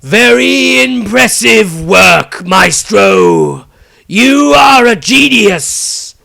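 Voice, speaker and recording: Loud, mocking old wizard patriarch. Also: male voice, very high voice, very animated, vocal fry, voice pushed up, heavily acted. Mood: mocking praise. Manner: loud, slow, theatrical declaiming.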